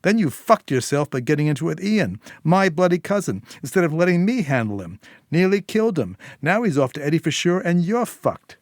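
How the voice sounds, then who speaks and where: A man's voice: on the high side, sing-song, brisk, no room sound, clean studio sound, pitched above the performer's own voice. A man voicing a mocking, bullying woman with a criminal edge in an audio short story, with sarcastic, taunting delivery. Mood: angry, taunting.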